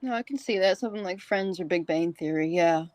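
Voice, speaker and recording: A woman's voice: fairly low pitch, brisk, a little mellow, no room sound. A woman hosting an interview podcast, asking questions in an informal, chatty way. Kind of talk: casual interview chat.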